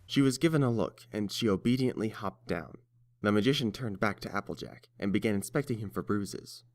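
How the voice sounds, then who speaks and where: Low-pitched male story narrator. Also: fairly quick, darkish tone.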